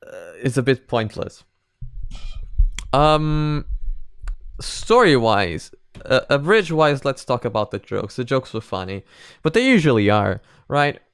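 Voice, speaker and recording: A man's voice: medium pitch, sing-song, a little slow, smooth, no echo, clean studio sound. A man giving casual, unscripted reaction commentary as a video reviewer, speaking hesitantly, rambling and repeating himself. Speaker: video reviewer reacting to an animated parody series.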